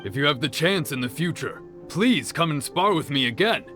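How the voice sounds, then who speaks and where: A calm, humble fighter. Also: male voice, on the high side, normal speed. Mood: reflective. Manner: calm, plain-spoken, sincere. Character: earnest male tournament fighter, humble. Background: game music.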